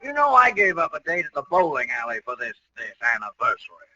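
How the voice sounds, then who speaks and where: A man's voice: fairly high pitch, wildly swooping pitch, fast, distant mic. An actor playing a pompous, grumbling older man in 1940s radio comedy. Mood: grumpy. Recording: muffled, noisy old radio recording.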